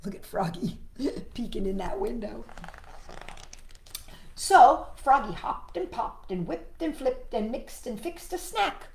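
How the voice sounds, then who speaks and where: Animated woman reading to children, echoey room. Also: a little high, slow, long pauses, slight background noise. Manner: expressive, addressing young listeners with questions. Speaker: storytime host. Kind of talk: animated children's picture-book read-aloud with asides.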